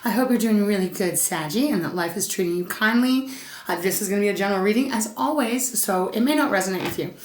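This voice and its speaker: A woman's voice: medium pitch, expressive, fast, roomy sound. A woman giving a casual tarot reading, chatty and soothing, her voice a little scratchy and stuffed up. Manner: chatty, soothing, addresses listener as Saggy. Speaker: tarot reader.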